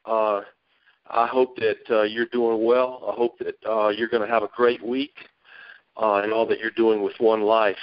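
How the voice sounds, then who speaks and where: A man's voice: on the low side, level tone, unhurried, smooth, no room sound. Man from Mississippi, motivational guest. Folksy storyteller, emphatic repetition, dry lo-fi call sound. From Mississippi, United States. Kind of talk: folksy storytelling pep talk. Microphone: phone conference call. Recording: dull, dry phone line.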